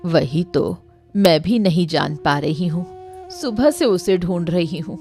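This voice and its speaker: A woman voicing a practical village wife and homemaker in an animated moral story. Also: on the low side, a little slow, faint music behind. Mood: worried.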